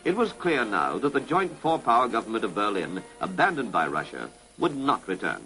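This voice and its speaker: A British man delivers formal, authoritative documentary narration in the manner of an early 1960s information film. His pitch moves in a lively way, with music playing behind him on a vintage film soundtrack. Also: normal speed, low-quality recording.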